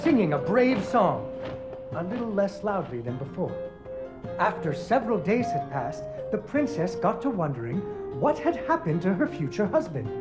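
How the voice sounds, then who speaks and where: A man's voice: high voice, sing-song, normal speed, over loud music, poor audio. An actor playing a patient father who improvises a fairy tale for his daughter in a video game, narrating dramatically with wry playfulness. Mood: storytelling, wry. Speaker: storyteller.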